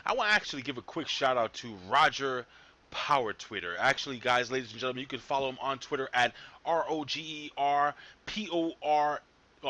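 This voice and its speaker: A man hosting a casual wrestling talk panel, animated and repeating himself as he jumps between topics. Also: mid-range voice, highs cut off. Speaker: podcast host.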